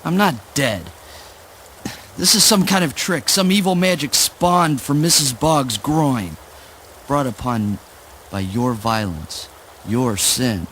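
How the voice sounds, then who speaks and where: A man's voice: medium pitch, lively intonation, slow pace, a bit bright, slight background noise. A man playing a frantic, defiant father in a spoken word play, with an urgent, dramatic delivery. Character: frantic, defiant father hiding corpses in a river. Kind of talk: spoken word stage-style radio play acting.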